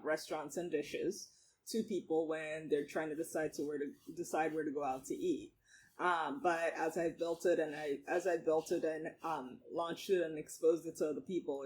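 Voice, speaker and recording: A woman around forty, a startup founder talking as a guest in a casual interview, animated, laughing often and saying um a lot. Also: sits low, a little hiss or hum, poor audio. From Nigeria.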